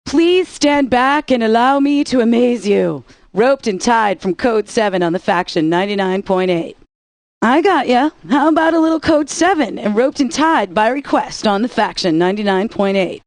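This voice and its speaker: A woman's voice: on the high side, expressive, normal speed, darkish tone, no echo. A woman radio DJ with sassy, playful patter between songs.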